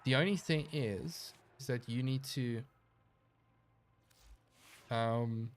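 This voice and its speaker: A man streaming a video game live, chatting casually and answering his chat in a relaxed, rambling way, sounding a little stuffy and nasal. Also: medium pitch, slow pace. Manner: laid-back, rambling, reads chat aloud and answers.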